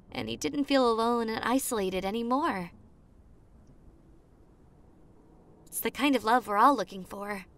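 Woman playing a slow-talking popular girl, ghost-story ASMR. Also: a little high. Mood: dreamy. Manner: slow, teasing, suspenseful. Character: popular girl telling ghost stories to secret crush on date. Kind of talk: roleplay ASMR, hushed dramatic storytelling.

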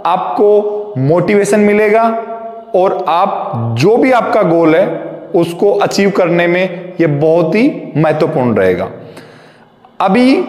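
A man, a gym trainer giving fitness tips slowly and deliberately, mixing in English phrases. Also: high-pitched, a little mellow. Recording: echoey hall reverb.

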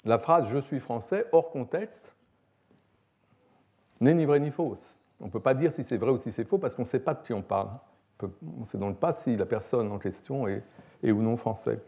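A man, a philosophy professor giving an academic lecture in a measured, explanatory, hesitant manner. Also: mid-range voice, warm tone. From France. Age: 60s. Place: lecture hall, at a lectern.

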